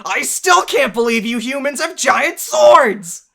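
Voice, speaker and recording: Woman playing a brash, forceful warrior fish lady. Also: wildly swooping pitch, slow pace, gravelly, exaggerated voice. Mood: fired up, passionate. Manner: forceful, booming encouragement. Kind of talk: amateur visual novel voice acting.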